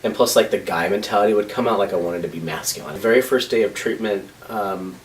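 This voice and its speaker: Hesitant soft-spoken man in his thirties. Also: lowish voice, quick pace. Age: 30s. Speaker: interviewee. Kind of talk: documentary interview. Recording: voice from a distance with faint noise.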